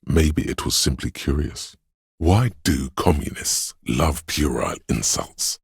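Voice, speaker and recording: A man playing the gentle, perceptive inner voice of empathy in a role-playing game, narrating in a measured, intimate, solemn and literary way. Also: animated, no room sound, clean studio sound, exaggerated voice. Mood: tender, perceptive. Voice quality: very deep, gravelly, husky.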